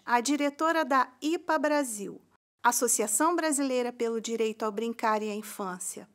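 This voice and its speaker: A woman with a high voice delivers a scripted introduction. She speaks straight to the viewer in an inviting, friendly way. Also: fairly bright tone. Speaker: recreation analyst presenting a video. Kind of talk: scripted presentation.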